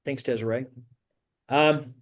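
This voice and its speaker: A man's voice: mid-range voice, steady tone, slow pace. A man hosting a job-search group, teaching informally and prompting participants with questions.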